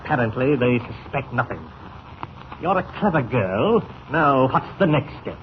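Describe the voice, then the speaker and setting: Guy doing a smooth formal villain boss, calculating, old radio serial, bit muffled and hissy. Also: medium pitch, lively intonation, natural voice. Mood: smug, controlled. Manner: formal, measured, suavely menacing. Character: masked male criminal mastermind, smooth and calculating. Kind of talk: 1940s radio acting.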